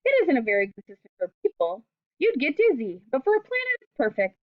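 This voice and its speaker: A woman's voice: sits high, fairly quick, dry recording, narrow, tinny audio. A woman reading a children's book aloud expressively, with simple explanatory asides in the manner of a teacher. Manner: expressive, simple phrasing aimed at young children.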